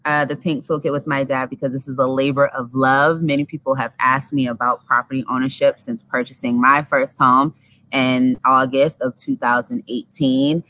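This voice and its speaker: A young adult woman hosting a casual interview in a backyard, speaking in an upbeat, quick way. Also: very deep voice, a bit bright, rough recording. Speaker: podcast host. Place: backyard outdoors.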